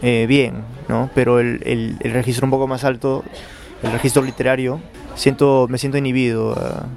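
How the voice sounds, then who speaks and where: A man's voice: mid-range voice, little pitch movement, moderate pace, creaky, a little mellow, soft background music. Hesitant man answering at length. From Peru. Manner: thoughtful, many hesitations and repeated words. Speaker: interviewed novelist. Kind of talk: unscripted interview answers.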